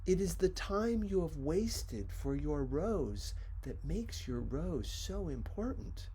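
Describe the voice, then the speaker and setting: A man reading as a wise, gentle male fox, patient and earnest as he explains. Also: on the high side, big pitch swings, slow, a little hiss or hum.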